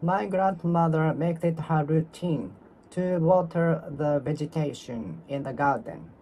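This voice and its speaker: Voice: mid-range voice, animated, mellow, slight background noise, poor audio. Japanese-accented learner drilling English phrases. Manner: repeats and reworks each sentence, careful and halting, self-correcting. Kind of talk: solo speaking practice, talking to oneself.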